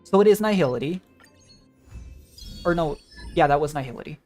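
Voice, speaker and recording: A game streamer talks casually through their play in a mid-pitched voice. The delivery rambles, as if thinking aloud, with game music playing behind it. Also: animated, normal speed, darkish tone. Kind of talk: casual gameplay commentary. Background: video game music.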